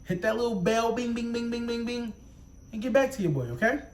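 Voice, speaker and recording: A man's voice: very high voice, a little fast. A young man hosting his reaction channel, talking casually in rambling, profane street slang. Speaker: YouTube reaction host. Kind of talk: casual vlog intro.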